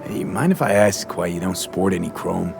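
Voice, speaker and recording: Game role: young street racer for hire, deep-leaning voice, composed, terse, over prominent music. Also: male voice, normal speed, creaky. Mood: calm, curious. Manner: laconic, clipped, understated. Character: calm, dry young male street mercenary racer. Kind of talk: video game voice acting.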